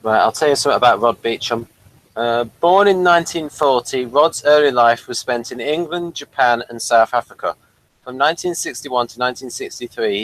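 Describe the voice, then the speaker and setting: Man, medium pitch, review host, conversational, measured, orderly delivery. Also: a bit bright, poor audio. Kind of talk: conversational review, partly reading aloud from a guide.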